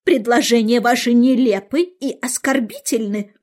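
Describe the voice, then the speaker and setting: Woman voicing a high, huffy little mouse. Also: normal speed, natural voice. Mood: offended, stern. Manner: indignant, sharp, formal. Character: touchy, stern, easily offended female mouse.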